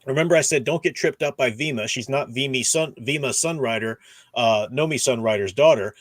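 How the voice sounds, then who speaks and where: A man's voice: medium pitch, a little fast, no echo. A man hosting a casual discussion stream, explaining at length and often dropping in "you know" as he goes. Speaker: host of a book discussion stream. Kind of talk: casual livestream discussion of comics and radio dramas.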